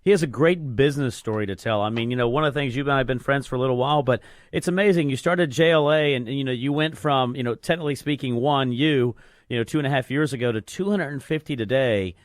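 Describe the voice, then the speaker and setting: Fast-talking male talk radio host. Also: medium pitch, dry recording, clean studio sound. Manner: rapid, conversational, repeats words when restarting. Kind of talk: business talk radio interview.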